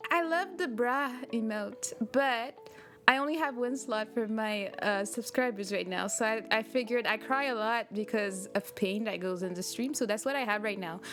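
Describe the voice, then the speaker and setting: A young woman from Quebec with a French Canadian accent, chatting casually with her livestream viewers, laughing often as she answers their messages. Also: mid-range voice. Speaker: streamer. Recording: music playing behind. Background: background music.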